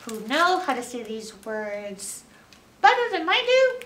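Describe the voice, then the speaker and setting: Animated American woman reading to children, bright voice. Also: sits high, slow pace. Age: middle-aged. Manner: animated, expressive, addressing young listeners. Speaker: librarian storyteller. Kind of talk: children's story time read-aloud. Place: small library room, indoors. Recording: roomy, picked up from a distance.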